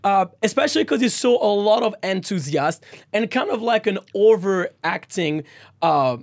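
A young man with a French accent co-hosts a conversational podcast. His voice sits noticeably high for a man, and the sound is dry and close, free of room echo. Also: animated, moderate pace. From France. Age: 20s. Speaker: podcast co-host.

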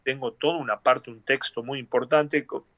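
Middle-aged Argentine man, Tucumán accent, gravelly, dry close sound, halting delivery. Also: medium pitch, steady tone, sharp tone, no echo. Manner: hesitant, many fillers and restarts. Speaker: singer and former rugby player, guest.

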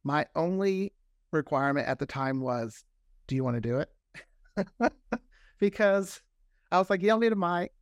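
A man's voice: on the high side, moderate pace, pauses often, no echo, clean studio sound. A man chatting casually as an interview guest, telling stories and often voicing quoted remarks as he goes. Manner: animated storyteller, often acts out quoted remarks. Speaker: guest, audio drama creator.

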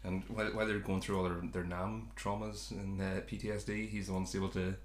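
A man's voice: deep voice, little pitch movement, quick pace, reverberant. A man hosting a podcast, chatting casually about a sitcom in a rambling, self-correcting way. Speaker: podcast host.

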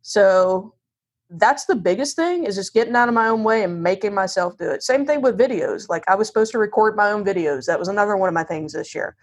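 Southern us lady chatting as a podcast guest, medium pitch, candid, kinda self-deprecating. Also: brisk. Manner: candid, self-deprecating, animated quoting of self and others. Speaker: podcast guest, divorce lawyer. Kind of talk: casual conversational interview.

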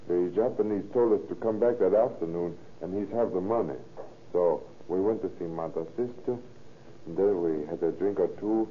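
An actor playing a humble, nervous Mexican male suspect with a Mexican accent and halting, deferential speech in old-time radio drama. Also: low voice, close to an everyday voice. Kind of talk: 1930s-40s radio drama acting. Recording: muffled, noisy old-time radio recording.

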